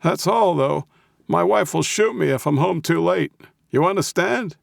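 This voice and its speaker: A crabby old man, pitched well above the reader's natural voice. He is gruff, defensive and blustering, a weary storybook grouch who wants to be left alone. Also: a little slow, no echo, studio quality. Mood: grudging, nervous. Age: older.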